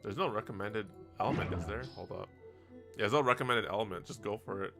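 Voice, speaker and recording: A man's voice: lowish voice, fast, gravelly, over music. A man hosting a gaming video with casual, slangy commentary, reacting aloud to the game. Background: game soundtrack.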